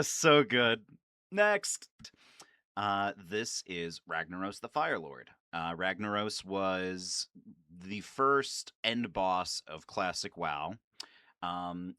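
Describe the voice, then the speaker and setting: A lowish male voice with a bright edge and very lively intonation, recorded dry and close. He talks like a gaming fan on his own channel, nerdy and enthusiastic. His language is casual and peppered with swearing. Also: smooth, dry recording. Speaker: video creator and gamer. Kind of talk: casual excited fan commentary.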